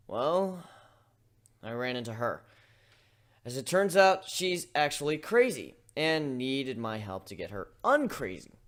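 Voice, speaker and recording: A man voicing a young male griffin in human form in a dramatic reading, blunt, offhand and wry. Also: medium pitch. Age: young adult. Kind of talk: dramatic fan-fiction reading.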